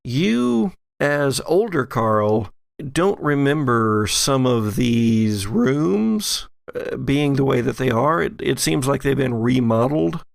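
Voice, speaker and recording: A man narrating a tabletop horror game as game master, in a measured, halting storytelling manner. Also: medium pitch, animated, creaky, no echo, studio quality.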